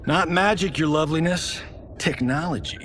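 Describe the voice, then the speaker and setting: A man's voice: fairly high pitch, moderate pace, a bit bright, natural voice. A man playing a cocky, flirtatious, wisecracking action star in a video game, glib and casual. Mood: amused, flirty. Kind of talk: cinematic video game acting. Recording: clear background ambient noise.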